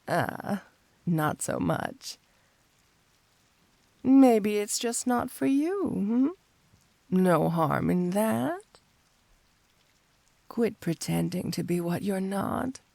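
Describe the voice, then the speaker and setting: A woman playing a flirty, seductive cat-burglar supervillain in an intimate ASMR roleplay, coaxing and teasing in an unhurried way. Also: medium pitch, animated, a little mellow, no echo, heavily acted.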